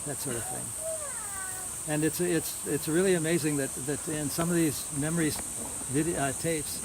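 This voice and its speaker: A man's voice: on the high side, huge pitch swings, measured pace, gravelly. A man giving an informal religious talk full of recollections, often repeating words as he searches his memory. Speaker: religious lecturer. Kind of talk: informal reminiscing lecture. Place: housewarming gathering, small live audience. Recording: noisy outdoor-like recording with insects. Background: loud hiss and chirping crickets.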